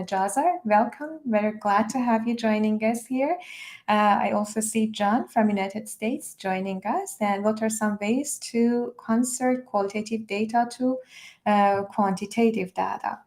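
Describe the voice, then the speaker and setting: A woman's voice: medium pitch, darkish tone. A woman hosting a live online broadcast, greeting and introducing people in a welcoming, thankful manner.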